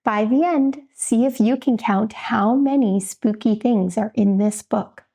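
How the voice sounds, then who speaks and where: A woman's voice: fairly high pitch, unhurried, mellow, husky. A woman teacher guiding young listeners through an instructional read-aloud, posing questions to them as she goes.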